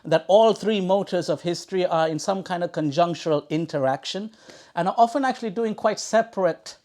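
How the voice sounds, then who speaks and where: Man, moderately high pitch, erudite, wordy, halting with restarts, academic book talk host. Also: a little mellow. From Sri Lanka. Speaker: event host introducing an author. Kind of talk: academic introductory remarks at a live book talk. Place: talk in a bookshop before an audience.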